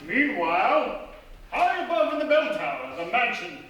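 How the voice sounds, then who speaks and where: Man as a very high-pitched dramatic narrator. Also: sing-song, normal speed, loud music behind the voice. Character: dramatic horror-tale narrator. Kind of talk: live radio play narration. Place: theatre stage before a live audience. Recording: echoey theatre, distant mic.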